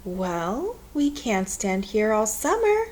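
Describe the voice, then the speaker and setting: A woman voicing a brisk, teasing old grandmother in a storybook, plain-spoken and drawling. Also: mid-range voice, very animated, a little mellow.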